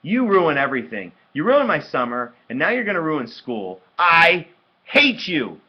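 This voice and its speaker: A sullen, humiliated young boy from a storybook, acted out by a man, snapping petulantly at his sister. The delivery is loud and emphatic, with big swings in pitch and a husky edge. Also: big pitch swings, moderate pace, highs cut off. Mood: furious.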